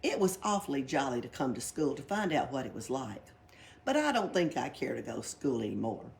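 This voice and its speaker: A woman reading a storybook, voicing a boisterous, cheeky young girl who tells tall tales in dramatic exclamations. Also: on the low side. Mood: blunt, breezy. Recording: background noise, library read-aloud.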